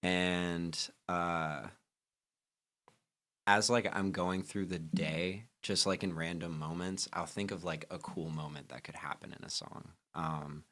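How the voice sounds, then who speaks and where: A young man, a band's songwriter, chatting as a podcast guest, full of fillers and lively when retelling stories. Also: sits low, slow pace. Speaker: band singer-songwriter and guest. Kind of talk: casual podcast interview.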